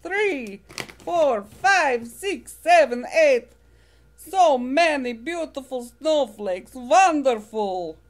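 A woman voicing a delighted male vampire counting snowflakes slowly in a storybook reading. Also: high-pitched, lively intonation, voice pushed up, full character voice.

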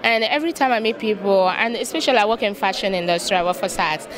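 A woman's voice: mid-range voice, fast, poor audio. A woman, a charity founder speaking earnestly and proudly, repeating her key phrases. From Nigeria. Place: crowded indoor event hall. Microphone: handheld mic held by interviewer. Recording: crowd chatter behind.

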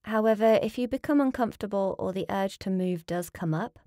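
A woman leading a guided relaxation as a yoga nidra teacher, speaking in a calm, soothing, measured way with pauses. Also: mid-range voice, no echo, clean studio sound.

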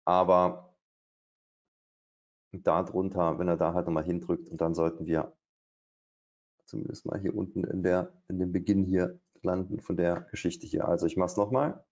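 A man's voice: sits low, little pitch movement, moderate pace, pauses often, darkish tone. A middle-aged man doing live trading commentary at his desk, thinking aloud with hesitations and fillers. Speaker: day trader. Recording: dull, lo-fi stream audio.

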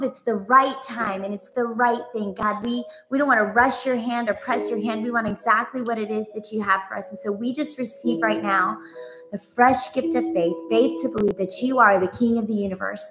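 A woman's voice: on the high side, steady tone, moderate pace, music in the background, rough recording. A woman praying aloud in an earnest, pleading way, off the cuff, with repeated phrasing. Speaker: co-leader praying. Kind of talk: extemporaneous prayer.

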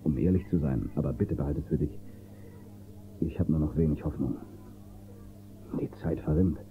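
An actor playing an upright, steady space-western marshal in a radio play, earnest and firm. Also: male voice, a bass voice, moderate pace. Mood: worried, confiding. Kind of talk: German radio play acting. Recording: tinny, noisy old cassette copy.

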